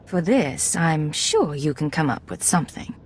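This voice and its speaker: An actress playing a poised, persuasive secret agent in a video game, controlled and smooth-talking. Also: female voice, low-pitched, sing-song, normal speed, a little hiss or hum.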